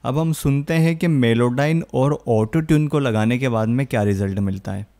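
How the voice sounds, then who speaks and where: Young man, tutorial host, casual explanation, dark mellow tone, smooth and clean, filler phrases, close studio mic. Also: mid-range voice, moderate pace. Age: young adult. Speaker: music production tutorial host. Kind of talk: casual software tutorial narration. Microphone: close studio condenser microphone.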